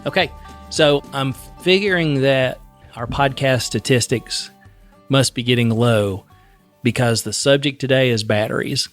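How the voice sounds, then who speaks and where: A man's voice: mid-range voice, unhurried, soft background music. A man hosting a podcast in casual chat, self-deprecating and joking, often laughing. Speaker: podcast host.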